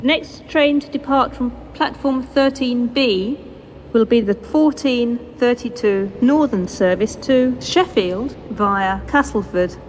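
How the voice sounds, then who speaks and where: A British woman reading scripted railway announcements over a station loudspeaker, formal and measured, in segmented phrases. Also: on the high side, highs cut off. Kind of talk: scripted public-address train announcements. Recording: noisy station PA recorded on location.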